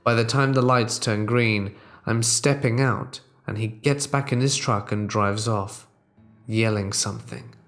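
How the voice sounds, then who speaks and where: A man's voice: on the low side, fairly even intonation, unhurried, fairly bright tone, soft background music. Man narrating true horror stories.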